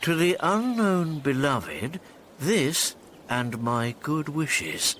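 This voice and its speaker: A man's voice: on the high side, big pitch swings, very slow, a bit bright, a little hiss or hum, exaggerated voice. An actor playing a pompous, self-deluded steward in a Shakespearean radio comedy, savouring his grand fantasies theatrically. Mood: excited, conspiratorial.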